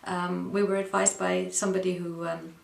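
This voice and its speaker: Dutch-born woman, deep gravelly voice, lots of ums, echoey room. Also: little pitch movement. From Netherlands. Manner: hesitant, with frequent pauses and ums. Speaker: interview guest. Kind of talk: personal account in an interview.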